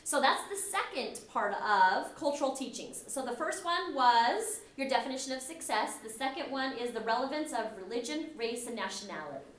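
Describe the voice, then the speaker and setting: A woman's voice: high-pitched, lively intonation, normal speed, few pauses, a bit bright, slight background noise. A middle-aged woman from the United States teaching a class in a conversational way, often acting out the remarks she quotes. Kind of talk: recorded classroom lecture. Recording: echoey room.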